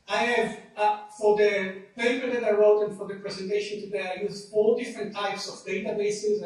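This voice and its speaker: A man with an Israeli accent giving a conference presentation, explaining data with dry self-deprecating asides. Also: sits high. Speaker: conference speaker. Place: conference hall. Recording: echoey hall, speaker far from mic.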